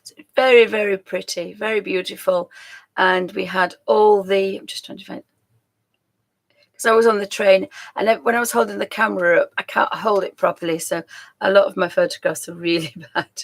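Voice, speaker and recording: A woman hosting a live stream, chatting casually and rambling with a croaky voice, often laughing and trailing off. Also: mid-range voice, steady tone, normal speed.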